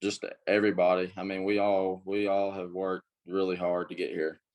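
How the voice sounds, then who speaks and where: A young male basketball player answers in a low, flat voice. He keeps it short and simple, with the odd "I mean.". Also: normal speed. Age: young adult. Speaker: high school basketball player. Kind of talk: casual interview answers.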